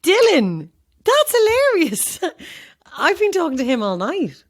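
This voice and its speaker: A woman playing a chatty, eager actress, flippant and quick to apologise, in a naturalistic audio horror drama. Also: extremely high pitch, huge pitch swings, full character voice. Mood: curious, uneasy. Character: chatty, eager young actress, impressed but uneasy. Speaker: actor.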